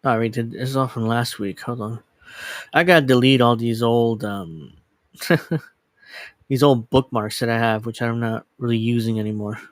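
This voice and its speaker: A man's voice: mid-range voice, flat delivery, normal speed, no echo. A man in his late thirties hosting a casual livestream, rambling and correcting himself as he chats into a desk microphone. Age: 30s. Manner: rambling, self-correcting, chatty. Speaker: livestream host. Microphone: desk USB microphone.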